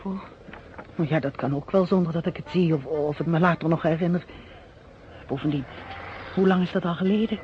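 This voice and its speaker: A woman's voice: sits low, a little fast, pauses often, natural voice. Radio play housewife woman acting: polite, guarded, easily flustered, hesitant delivery; dull, slightly noisy old recording. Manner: hesitant, repeating her words. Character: wary, polite housewife, flustered wife of a detainee.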